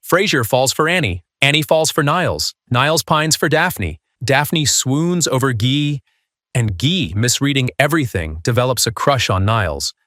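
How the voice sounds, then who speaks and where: A man's voice: medium pitch, animated, moderate pace, fairly bright tone, no room sound, clean studio sound. A man hosting a video countdown, reading a scripted narration.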